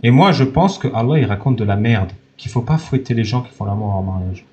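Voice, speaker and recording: A man's voice: medium pitch, brisk, darkish tone, lo-fi sound. A man hosting a heated live-stream debate, blunt and insistent.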